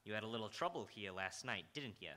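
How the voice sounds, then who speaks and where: Man acting a low, hard-boiled radio detective. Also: expressive, fast, pushed and bright. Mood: probing, businesslike. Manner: terse, brooding, poetic phrasing. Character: hard-boiled, world-weary New York police detective narrating his case. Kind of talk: old-time radio noir acting, second-person narration.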